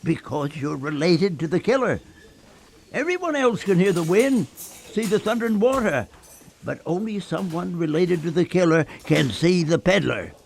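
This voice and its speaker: A man's voice: high-pitched, sing-song, normal speed, vocal fry, a little hiss or hum, heavily acted. A man playing an old, superstitious local in a radio play, folksy and insistent as he spins his tale. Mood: knowing, grave. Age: older.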